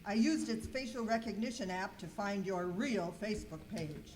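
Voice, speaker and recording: A woman's voice: mid-range voice, moderate pace, a little mellow, natural voice. A woman playing a confident, wisecracking cleaning superhero in an amateur comic radio skit, declaiming in mock-heroic style. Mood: triumphant. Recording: clearly noisy live room recording.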